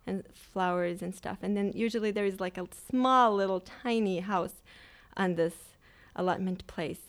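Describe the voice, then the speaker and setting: A woman's voice: mid-range voice, normal speed. Woman singer chatting on radio. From Finland. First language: Swedish. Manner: lively, explains at length with small restarts. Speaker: guest singer. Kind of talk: radio interview conversation. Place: radio music studio.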